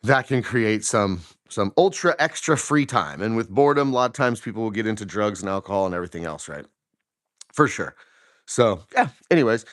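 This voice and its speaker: A man with a hoarse, deeper morning voice, chatting casually to his viewers while he walks outdoors with his phone, rambling with lots of fillers and questions. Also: lively intonation, a little fast, a bit bright, no room sound, studio quality. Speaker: vlogger. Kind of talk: casual talk to camera on a walk. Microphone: phone held while walking.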